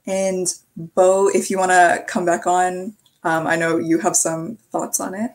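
A woman's voice: lowish voice, little pitch movement. A young woman from Canada hosting a casual group discussion over a video call, passing the word from guest to guest. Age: young adult. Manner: friendly, quick hand-offs between guests. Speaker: host.

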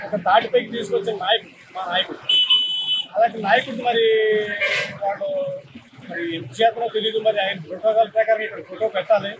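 A middle-aged man, a local party worker, gives a statement outdoors into handheld press microphones, halting and restarting often. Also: sits high, background noise. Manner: halting, repeats filler words, restarts. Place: outdoors on a street.

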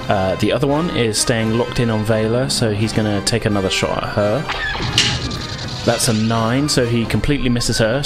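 A man with a low voice acts as game master at a tabletop game. He alternates between rules talk and narrated space combat, with music clearly playing underneath.

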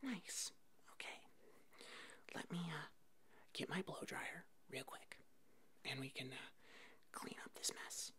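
A woman voicing an eccentric, bumbling puppet monster hairdresser in a halting, breathy whisper for an ASMR roleplay. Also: sits low, pushed and bright, heavily acted. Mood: calm, quirky. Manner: halting, hesitant, slow.

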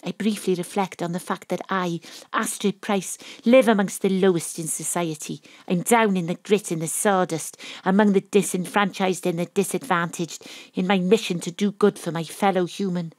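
A woman's voice: lowish voice, fairly even intonation, normal speed, few pauses, no echo, close to an everyday voice. A woman playing a wry, poetic private detective with a Welsh accent, narrating an audio drama in a theatrical, literary way. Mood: wry, reflective. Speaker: narrator and lead character. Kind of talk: first-person audio drama narration and acting.

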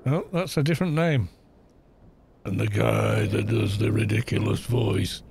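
A man's voice: on the low side, huge pitch swings, measured pace, vocal fry, a little mellow, breathy edge. A man streaming a game, chatting casually in a rambling, self-deprecating way on a close desk mic.

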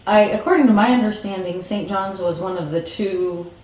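A woman, answering interview questions thoughtfully with pauses and restarts, seated in a room with bookshelves. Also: medium pitch, darkish tone, echoey room, distant mic, rough recording. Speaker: market organizer.

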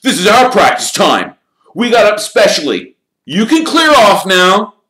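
A man voicing a fiery, obsessive teenage boy sports captain in a children's storybook, forceful and indignant. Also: high-pitched, sing-song, normal speed, roomy sound, pitched above the performer's own voice, heavily acted, low-quality recording. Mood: outraged. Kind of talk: audiobook character voice in a children's fantasy story.

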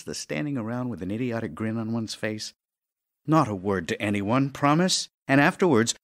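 A man's voice: medium pitch, lightly acted. A man playing a middle-aged writer, wry, teasing and affectionate, in a theatrical audio drama. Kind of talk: audio drama acting, letters read as speech.

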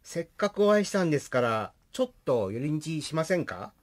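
A man's voice: a little high, very animated, fairly quick. A man playing an eager, sociable accountant in a comic radio drama.

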